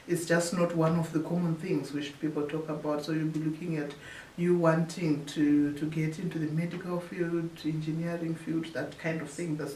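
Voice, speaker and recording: A woman from Zimbabwe, a researcher telling her story in an interview, often pausing and restarting her phrases. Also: low voice, darkish tone. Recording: echoey room, distant mic, faint hiss.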